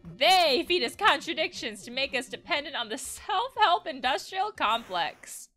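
An anxious guard wolf from a video game, acted out by a woman, nags and pleads with melodrama. The voice is bright and high, swinging widely in pitch. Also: sing-song, measured pace, soft background music, heavily acted. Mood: hurt, indignant. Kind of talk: game dialogue read aloud.

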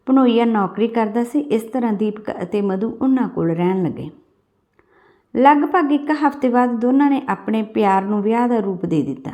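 High-voiced woman narrating a story. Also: moderate pace, warm tone.

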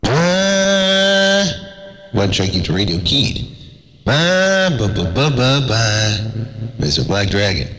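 A man's voice: mid-range voice, big pitch swings, slow pace, a bit bright, in a large hall, a little hiss or hum. Laid-back CB radio man with a cold. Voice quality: slightly raspy from a cold. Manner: laid-back, drawling, slangy. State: has a cold. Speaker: CB radio operator. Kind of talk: casual radio chatter. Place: outdoors station in the desert.

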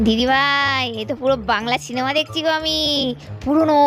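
Woman playing a very high-pitched cheeky daughter-in-law. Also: a little fast, a bit bright. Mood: teasing, urgent. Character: cheeky gossipy young daughter-in-law, teasing and impatient. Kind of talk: Bengali puppet cartoon voice acting.

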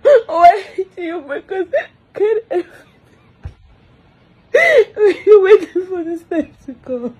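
A woman's voice: very high-pitched, animated, normal speed, warm tone. A distraught woman, crying and wailing through an emotional lament, repeating phrases over and over.

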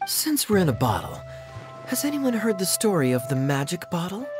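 A smooth, teasing young male knight from a video game. He coaxes playfully with lively swings of pitch while music plays behind him. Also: normal speed. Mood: playful, coaxing. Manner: playful, coaxing.

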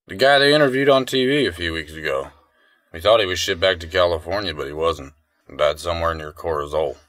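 A man's voice: deep voice, a little fast, a bit bright, dry recording, studio quality. A man playing a somber soldier in terse game cutscene dialogue. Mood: grim.

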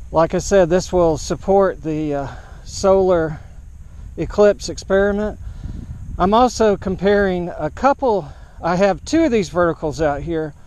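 Higher-pitched older man, slow and halting. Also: a little mellow. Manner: slow, halting, hesitant delivery with throat clearing. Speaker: amateur radio operator vlogging. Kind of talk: casual unscripted field report. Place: open grassy field outdoors, under a canopy. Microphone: action camera at a short distance. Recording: outdoor camera audio with ambient noise.

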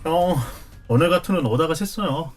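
A man's voice: fairly high pitch, expressive, brisk, soft background music, close to an everyday voice. A man playing a young male student activist. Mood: plain. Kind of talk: audio drama acting.

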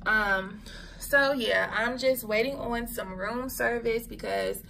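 A woman's voice: fairly high pitch, slow pace, creaky, bright, forceful tone, a little hiss or hum, low-quality recording. A young woman vlogging casually to her phone from a hotel bed, congested and unwell, talking in a tired, laid-back way. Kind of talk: casual vlog to camera. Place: hotel room, lying in bed. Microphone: phone held at arm's length.

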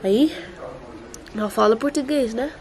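Young woman speaking in slow, halting phrases. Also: fairly high pitch, darkish tone. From Netherlands. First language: Dutch. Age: young adult. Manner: halting, searching for words, slips into English. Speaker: vlogger. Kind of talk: casual travel vlog. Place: outdoors and indoors, apartment and streets.

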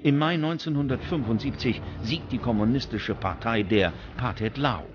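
A man's voice: mid-range voice, normal speed, muffled recording. Male documentary narrator over music. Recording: music under the voice. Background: background music.

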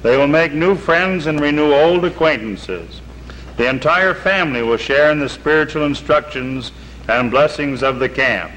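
A middle-aged man speaking in a measured, earnest, formal way, reading a prepared statement for a 1950s television broadcast. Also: a little high, fairly bright tone, poor audio. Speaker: camp spokesman. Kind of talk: reading a prepared statement, then documentary narration. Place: outdoors by a lake. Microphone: seated on camera, reading from papers. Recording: old 1950s TV broadcast with faint hiss.

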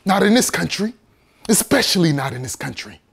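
A male actor performing a theatrical monologue as a bitter, righteous condemned rebel, in an accusatory, oratorical manner that swings between hushed and thundering. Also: high-pitched, very animated, brisk, gravelly, breathy edge, exaggerated voice. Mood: impassioned outrage.

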